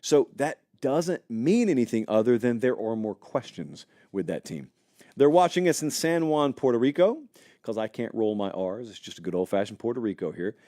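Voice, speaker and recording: A man's voice: mid-range voice, moderate pace, no room sound, studio quality. A man hosting a live college football talk show, chatting casually and speculating aloud while answering viewers in the live chat. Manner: animated, conversational, speculative, addresses live chat.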